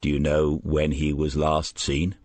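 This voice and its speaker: A man's voice: very deep voice, completely flat delivery, unhurried, darkish tone. A man voicing a terse, probing male detective in an audiobook. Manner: terse, questioning.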